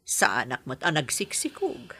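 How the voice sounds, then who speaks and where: An actress with an Ilocano accent playing a kindly, childless married landlady in an audio drama, with a husky voice. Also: low voice, wildly swooping pitch, normal speed, bright, forceful tone, full character voice. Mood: wistful.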